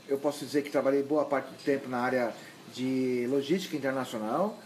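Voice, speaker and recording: A middle-aged man with a Brazilian accent from Santos, giving unscripted interview answers in a halting, emphatic way with repeated words. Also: on the high side, a little mellow, a little hiss or hum, low-quality recording. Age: middle-aged or older. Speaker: mayoral candidate and university lecturer. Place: indoors at his home.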